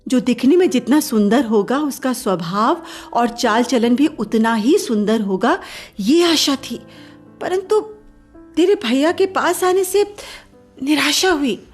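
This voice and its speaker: Proud earnest young woman in a radio drama, high voice, formal talk, faint music. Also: lively intonation, slow pace, full character voice. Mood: earnest, disappointed. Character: principled, proud young woman, firm and easily indignant. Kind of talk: Hindi radio play acting.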